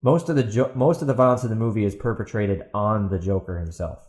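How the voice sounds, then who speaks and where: A man's voice: fairly low pitch, fast talker, a little mellow. Exasperated man ranting, echoey room. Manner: combative, exasperated, repeats himself, blunt with swearing. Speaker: podcast host. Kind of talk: ranting solo commentary, partly reading out a post. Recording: roomy, echoey sound.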